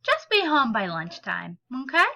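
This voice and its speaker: A woman voicing a caring, gently teasing mother in a children's storybook. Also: high-pitched, very animated, measured pace, vocal fry, exaggerated voice. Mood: fond, teasing.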